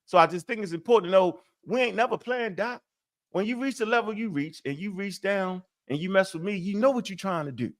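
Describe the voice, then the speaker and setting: A man with a high voice co-hosts a live online talk, animated and full of street slang. He keeps tossing in asides to the people he is talking with. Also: brisk. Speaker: co-host. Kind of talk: casual live-stream chat.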